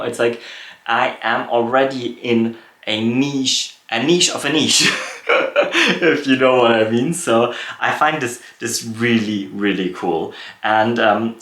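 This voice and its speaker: A man's voice: on the low side, a bit bright. A man in his thirties from Poland, hosting a reflective solo podcast, thoughtful and full of fillers and restarts. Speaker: podcast host. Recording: echoey room.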